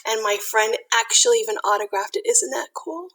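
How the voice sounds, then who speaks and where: Female storytime reader, high and bright, animated sing-song delivery, talks directly to young kids. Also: a little fast, low-quality recording. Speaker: elementary school teacher reading to children. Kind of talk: expressive children's picture book read-aloud with asides.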